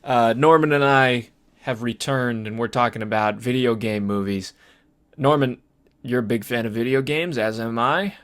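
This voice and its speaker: A man co-hosting a podcast, discussing films casually in a halting, repetitive, conversational way. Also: medium pitch, smooth. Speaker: podcast host.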